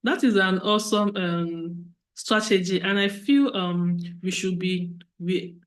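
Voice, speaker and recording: Woman, host, interviewer, hesitant, repeats words, many ums, slow, lowish voice. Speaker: podcast host. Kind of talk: casual interview.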